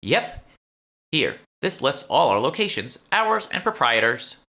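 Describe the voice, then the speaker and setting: A man plays an eager young woman who keeps a magic shop in a fantasy audiobook. The voice is lifted high and swoops with enthusiasm. It is bright, polite and full of sales patter. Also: sing-song, measured pace, heavily acted. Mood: chatty, eager. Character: chatty, eager young female magic shopkeeper. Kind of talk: audiobook character dialogue.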